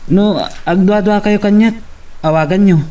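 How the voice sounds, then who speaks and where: A man's voice: high-pitched, fairly even intonation, normal speed, warm tone, distant mic, natural voice. A man playing a respectful office errand worker in an Ilocano radio drama.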